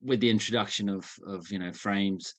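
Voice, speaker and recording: A young man from England giving an informal talk over a video call, relaxed, with many fillers and restarts. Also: fairly low pitch, normal speed, dry recording. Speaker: guest speaker. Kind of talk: informal online presentation.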